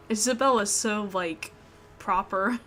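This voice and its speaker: A woman's voice: medium pitch, fairly bright tone. Woman reading a game aloud over music. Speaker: gaming video host. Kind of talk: reading visual novel narration aloud with casual reactions.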